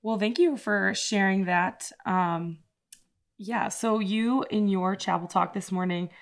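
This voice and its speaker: A woman's voice: mid-range voice, takes it slowly. A woman hosting a podcast interview, asking questions and affirming her guests as they speak. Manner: friendly, affirming listener. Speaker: podcast host.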